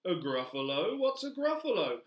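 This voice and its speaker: Woman doing a loud, swooping storybook owl. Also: on the low side, very animated, moderate pace, pitched above the performer's own voice. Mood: inviting. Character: owl, grandly inviting, easily flustered. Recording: roomy, echoing room.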